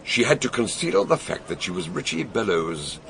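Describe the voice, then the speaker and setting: Gravelly, theatrical older British detective. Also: male voice, medium pitch, very animated, moderate pace, a bit bright, a little hiss or hum. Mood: emphatic explaining. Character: eccentric, brilliant detective doctor, narrating his case. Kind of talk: radio drama acting and narration.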